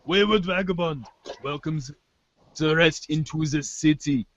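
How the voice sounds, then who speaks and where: A man's voice: a little high, unhurried, a bit bright, highs cut off. A man reading scripted narration for an amateur reader's theater show, performing a webcomic aloud.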